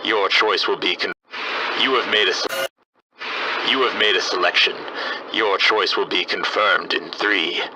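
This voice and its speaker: A man's voice: low voice, unhurried. A man playing an impersonal, stilted announcer for a cursed device, in 1940s radio style. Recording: noisy old-radio style sound.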